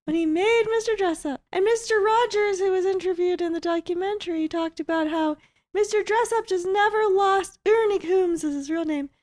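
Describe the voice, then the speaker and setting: A woman voicing a cheeky, chatty walrus puppet made of wool, in a very high, chirpy voice. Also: normal speed, a little mellow. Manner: chatty, playful, self-promoting. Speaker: co-host's puppet. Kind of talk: comedic puppet bit.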